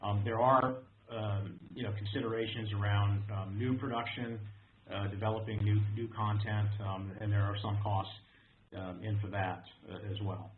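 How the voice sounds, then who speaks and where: A man answering analyst questions as a company executive, speaking in a measured, halting way with repeated words. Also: lowish voice, fairly even intonation. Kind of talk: unscripted corporate question-and-answer. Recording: noisy narrow-band conference call.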